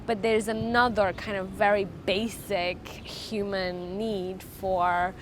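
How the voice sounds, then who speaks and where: A woman from Afghanistan whose first language is Farsi, giving earnest, halting interview answers about her life. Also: medium pitch, little pitch movement, noisy background. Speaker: interviewee, refugee charity founder.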